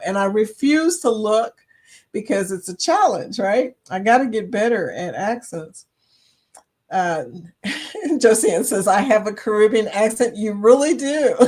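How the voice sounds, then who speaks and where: A woman's voice: mid-range voice, husky. A middle-aged woman hosting a casual live chat, reading viewer comments aloud and laughing often. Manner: laughs often, chatty and animated, reads out comments. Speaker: livestream chat host.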